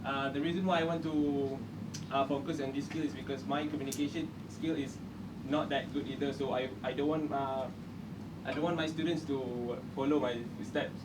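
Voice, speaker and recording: A man's voice: medium pitch, steady tone, moderate pace. A young man giving a classroom presentation as a trainee teacher, nervous and self-deprecating, with many restarts. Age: young adult. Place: university classroom. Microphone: far camera mic. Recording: distant mic, loud hiss.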